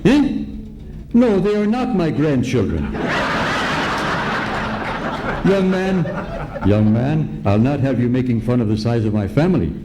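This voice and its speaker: A man's voice: medium pitch, big pitch swings, normal speed, close to an everyday voice. An actor playing a fussy, indignant elderly man in an old-time radio comedy, talking in a pompous, sputtering, old-fashioned way. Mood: outraged. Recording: noisy live ballroom stage recording.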